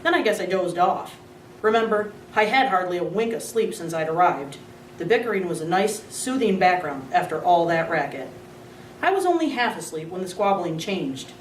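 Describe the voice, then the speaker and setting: A woman reading a children's chapter book aloud in an expressive storytelling manner. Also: fairly low pitch, normal speed. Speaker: reader. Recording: roomy, distant, faint hum.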